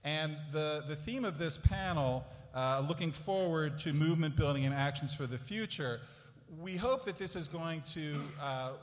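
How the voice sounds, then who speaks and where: Guy hosting a panel, kinda higher voice, easygoing, echoey hall. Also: normal speed. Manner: relaxed, self-deprecating, with fillers. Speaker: panel moderator. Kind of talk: casual, joking introduction. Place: large hall.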